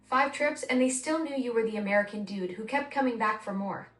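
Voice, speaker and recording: A woman's voice: fairly high pitch, a little fast, a bit bright, recorded from a distance, soft background music. A synthetic woman's voice acting as an AI assistant in casual conversation, eager and full of praise. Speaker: AI voice assistant co-host. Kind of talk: conversational chat. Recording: roomy voice from off the mic.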